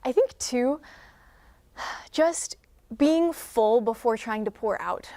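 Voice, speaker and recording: A woman's voice: a little high, animated, dark tone. A young woman speaking earnestly and reflectively as a guest in a faith interview. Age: young adult. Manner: earnest, measured, devotional. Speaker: guest, student leader.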